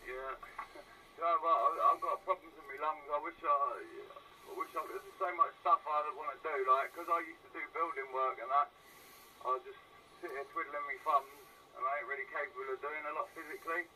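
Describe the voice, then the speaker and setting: A noisy CB transmission carries a British man who sounds some way from the mic. His voice is a touch higher than average. He chats in a casual, matey way, hesitating and repeating words as he goes. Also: steady tone, normal speed, sharp tone. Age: middle-aged or older. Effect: CB radio. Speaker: CB radio caller. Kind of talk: casual chat. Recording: distant, noisy CB radio transmission.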